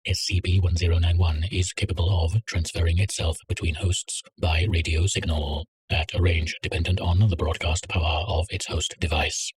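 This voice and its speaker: A man reading a containment document aloud in a measured, clinical way. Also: low-pitched, flat delivery, husky, rough recording. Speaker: narrator. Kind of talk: scripted reading of a containment file.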